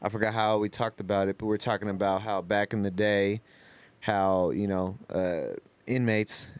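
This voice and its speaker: A man's voice: on the low side, fairly even intonation, moderate pace, clear, resonant tone, dry recording. A man in casual podcast conversation, rambling and slangy in the way he talks. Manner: rambling, slangy, frequent "you know" and "man". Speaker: podcast co-host.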